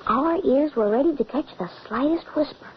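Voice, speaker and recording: A woman's voice: high voice, normal speed, lightly acted. A woman playing an earnest, dutiful young boy who protects his little sister, in an old-time radio horror drama. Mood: solemn recounting. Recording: muffled, hissy old radio recording.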